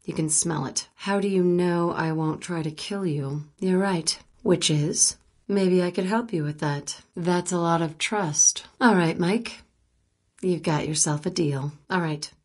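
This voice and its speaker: A woman's voice: on the low side, unhurried, darkish tone, husky. An actress voicing a wry, probing, guarded woman journalist in a spy thriller video game, speaking in a dry, teasing, conversational way. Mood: cool, businesslike. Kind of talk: naturalistic video game dialogue acting.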